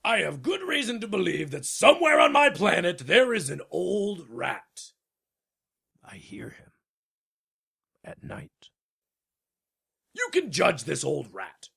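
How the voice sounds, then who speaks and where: A man playing a proud old king in a storybook dramatization, with a gravelly, raspy voice and a pompous, imperious, theatrical delivery. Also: high-pitched, big pitch swings, slow, long pauses, pushed and bright, heavily acted. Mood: solemn, instructing.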